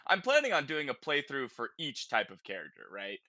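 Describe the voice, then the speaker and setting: A man's voice: medium pitch, wildly swooping pitch, fairly quick, bright, forceful tone, no echo. A man hosting a casual gaming podcast, talking informally and thinking aloud as he goes. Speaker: podcast host.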